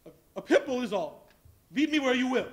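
A man's voice: very high voice, animated, normal speed. A man on stage playing a nervous, sentimental old miser in a Christmas play. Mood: moved. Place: stage in a dim auditorium. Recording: live stage recording with music behind.

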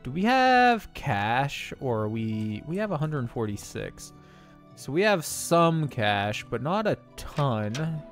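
A man's voice: medium pitch, very animated, slow, soft background music. A man streaming a game, chatting casually with viewers and laughing often, his nose a little stuffy. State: slightly congested, stuffy nose. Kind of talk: casual gameplay commentary and chat.

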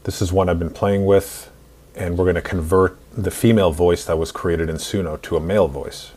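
A man in his forties narrating a screen-recorded software tutorial in an instructional, step-by-step way. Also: sits low, steady tone, normal speed. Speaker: tutorial host.

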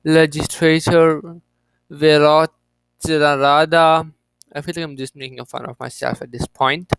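A young man with a slightly high, gravelly voice that still sounds smooth and clear. He reads an encyclopedia page haltingly, restarting words and tossing in offhand jokes. The sound is dry and close, from a desk mic. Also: level tone, a bit bright, dry recording, low-quality recording. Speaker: geography channel host. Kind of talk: reading and commenting on an encyclopedia page.

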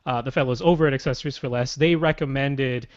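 A man's voice: medium pitch, fast. A young man with a mid-range voice who explains things with eager energy and laughs easily. He co-hosts a casual podcast from a small room at home. The call audio is a bit dull. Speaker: podcast co-host. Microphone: large studio mic on boom arm.

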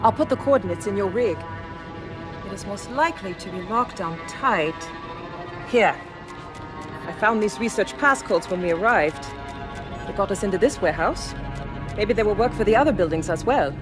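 An actress playing a brisk, capable researcher in a sci-fi game, talking in a businesslike way. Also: female voice, mid-range voice, lively intonation, over music, natural voice. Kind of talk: video game cutscene dialogue.

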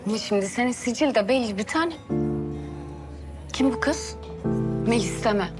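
An actress as a teasing, dry older sister in a TV drama. Her voice is fairly high, and music plays clearly behind her. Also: expressive, normal speed, lightly acted. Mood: teasing, skeptical. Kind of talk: TV drama acting, conversational. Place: restaurant interior.

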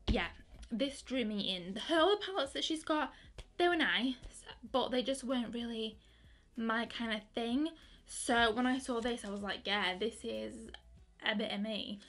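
A young woman in her twenties chatting excitedly through a makeup review, with lots of fillers and restarts. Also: on the high side, unhurried. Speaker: beauty vlogger. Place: bedroom with colourful lighting.

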